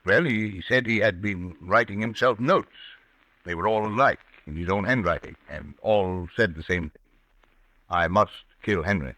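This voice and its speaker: A man acting an assured, formal doctor in an old-time radio drama, speaking briskly. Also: lowish voice, pushed and bright, dry recording, poor audio. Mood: earnest. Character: assured doctor, loyal friend of a troubled patient. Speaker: radio actor.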